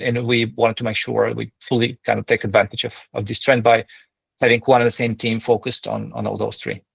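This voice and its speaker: A man speaking as a company executive, giving semi-formal, measured and somewhat hesitant explanations on an earnings call. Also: mid-range voice, vocal fry, dry recording.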